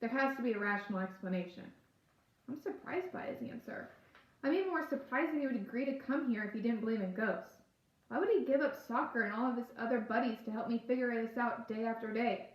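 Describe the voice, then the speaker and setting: High-voiced woman reading aloud, echoey room. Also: brisk. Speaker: book reader. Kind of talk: reading a novel aloud, first-person narration.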